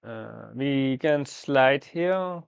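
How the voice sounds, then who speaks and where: A man live-streaming a game, thinking aloud through his moves in a halting, fragmented way. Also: medium pitch, expressive, creaky, smooth, dry recording, highs cut off. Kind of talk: live mahjong gameplay commentary, thinking aloud.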